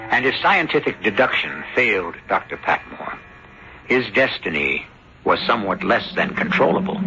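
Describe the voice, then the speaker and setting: Gravelly, dramatic male radio mystery host. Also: on the low side. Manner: solemn, deliberate, theatrical. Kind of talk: dramatic scripted narration, old-time radio. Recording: dull lo-fi old radio broadcast. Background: prominent music.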